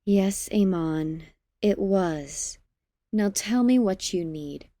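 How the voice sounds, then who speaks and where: A regal queen played by a woman in an audio drama, wry and a little condescending. She speaks slowly and deliberately, with lively swings in pitch, on a dry, close recording. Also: dry recording. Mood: exasperated. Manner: measured, haughty, teasing.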